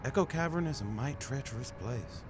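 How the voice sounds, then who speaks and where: A man's voice: mid-range voice, animated, moderate pace, natural voice. A man playing a folksy, wary small-town constable in a rural American drawl, in an old-time radio style. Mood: folksy, cautioning. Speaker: actor in a radio mystery. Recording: noisy old radio drama recording.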